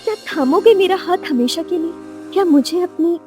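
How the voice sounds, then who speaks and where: A woman's voice: very high-pitched, moderate pace, a little mellow, soft background music. A woman voicing an earnest, lovestruck young woman in an audio romance drama, tender and emotional. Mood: loving, hopeful.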